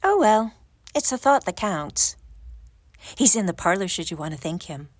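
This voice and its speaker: Kindly bustling female landlady, mid pitch, homely and reassuring, dry studio sound. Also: sing-song, moderate pace, dry recording. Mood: kindly, coaxing. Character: brisk, kindly, motherly woman running a boarding house. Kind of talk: audio drama acting.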